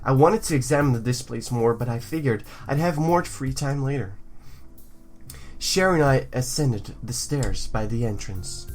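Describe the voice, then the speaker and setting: A man's voice: mid-range voice, moderate pace, a little mellow, faint music behind. A man from the United States hosting a gaming video, moving between reading narration aloud and jokey, goofy commentary. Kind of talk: let's play commentary and narration.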